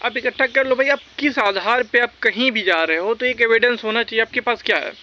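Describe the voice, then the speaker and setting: A man's voice: very high voice, a little fast, bright, forceful tone. A man talking informally and with strong opinions straight to his viewers, exhorting them and repeating his points for emphasis. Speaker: vlogger giving recruitment exam updates.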